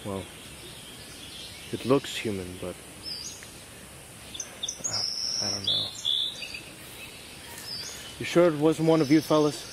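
A man's voice: mid-range voice, expressive, slow, gravelly, long pauses. Man acting a prickly Confederate veteran, noisy outdoors. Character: hard-drinking male Confederate veteran, confounded and prickly. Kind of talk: period western film acting. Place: outdoors in woods. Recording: noisy outdoor woodland location sound.

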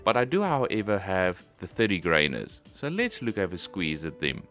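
This is a middle-aged man hosting a casual vlog. His voice is bright and forceful, and his pitch moves around in a lively way. He talks to his viewers in an expressive, informal manner, with some music in the recording. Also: moderate pace. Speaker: host of an airgun shooting channel. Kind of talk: casual vlog presentation and commentary.

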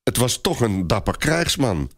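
A man's voice: mid-range voice, sing-song, unhurried. A man voicing a cautious, honest squire in an audio story, speaking respectfully and earnestly to his lord.

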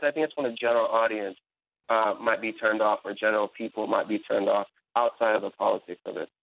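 A man's voice: lowish voice, dry recording. Man on a phone line. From Iran, raised in America. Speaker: journalist and blogger, panel guest. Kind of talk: radio panel discussion.

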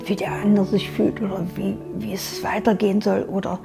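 An older woman born in Germany, an eyewitness in a documentary interview, remembering her past earnestly with some halting restarts, recorded in a living room. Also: medium pitch, flat delivery, creaky, music in the background. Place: living room with a sofa, indoors.

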